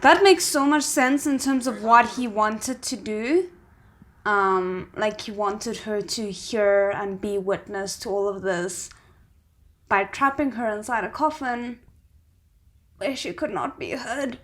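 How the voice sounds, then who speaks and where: A woman giving casual reaction commentary as a host, thinking aloud with expressive exclamations. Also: medium pitch, slow pace. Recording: noticeable background noise.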